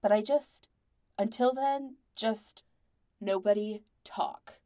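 A woman's voice: medium pitch, slow, dark tone, close to an everyday voice. A woman voicing a furious, exasperated young male band member who snarls and swears emphatically in a story. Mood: strained, exasperated.

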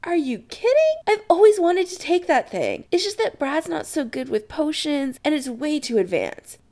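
An enthusiastic young man who dabbles in sorcery, acted out by a woman. The delivery is gushing and emphatic, pitched high and swinging freely up and down, as in an audio story. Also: lively intonation, normal speed, darkish tone. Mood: excited.

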